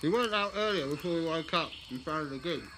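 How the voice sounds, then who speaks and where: Actor as a reserved settler amid outdoor noise. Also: male voice, a little high, animated, fast, lightly acted. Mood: matter-of-fact. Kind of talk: audio drama acting. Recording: noisy outdoor ambience with birds.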